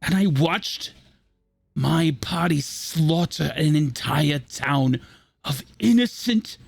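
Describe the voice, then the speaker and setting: Man playing a slow, gravelly, grandiose villain. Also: on the high side, expressive, dry recording, studio quality, exaggerated voice. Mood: grave, embittered. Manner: grandiose, deliberate, impassioned speechifying. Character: bitter, theatrical villain justifying his fall from hero. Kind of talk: dramatic in-character monologue in a tabletop roleplay.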